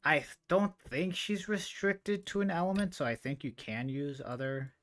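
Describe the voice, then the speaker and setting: A man live streaming a game with casual, rambling commentary, thinking out loud as he plays. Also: a little high, normal speed.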